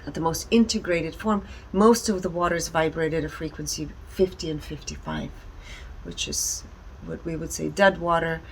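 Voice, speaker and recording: A woman giving a conversational, explanatory presentation in deliberate short phrases. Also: low-pitched, expressive, rough recording. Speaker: speaker presenting energy readings. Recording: background ambient noise.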